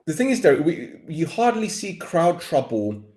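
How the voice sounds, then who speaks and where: A man's voice: on the high side, sing-song, moderate pace, a little mellow, roomy sound. A man with a Cockney accent, a podcaster talking as a guest expert in a casual, rambling interview chat. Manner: chatty, rambling with restarts.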